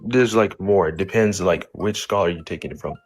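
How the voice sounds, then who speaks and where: A man debating casually, speaking haltingly and padding his phrases heavily with filler words. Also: deep voice. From Somali background. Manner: halting, heavy use of "like" and "you know". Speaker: debate participant.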